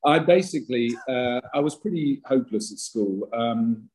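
A man's voice: mid-range voice, expressive, moderate pace, darkish tone, low-quality recording. An older man, an actor, talking in a podcast interview, rambling and self-deprecating with many restarts and a big voice. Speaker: actor guest.

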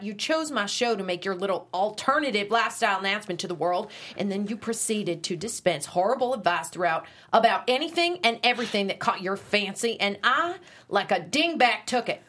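A woman playing a bitter, resentful mother, breathy. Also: mid-range voice, lively intonation, moderate pace, fairly bright tone. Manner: accusing, sarcastic. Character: bitter middle-aged mother, hurt and resentful. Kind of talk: table read.

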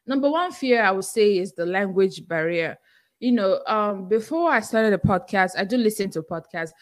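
A woman with a Nigerian accent hosts her podcast, working through listener questions in an easy, chatty way. She talks straight to her audience, earnest and reassuring, with plenty of "you know" and "um" along the way. Her voice sits at an ordinary middle pitch and sounds close and dry, with no room around it. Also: lively intonation, moderate pace, no room sound. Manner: chatty with frequent fillers and restarts. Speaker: podcast host. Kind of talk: casual livestream Q&A.

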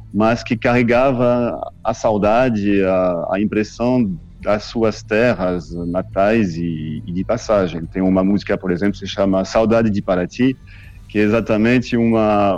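A French-born man with a fairly low voice speaks as a guest musician on a radio show. He talks haltingly, full of restarts and fillers. The sound is dull and lo-fi, with faint music behind him. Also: darkish tone. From France. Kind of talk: radio interview answers.

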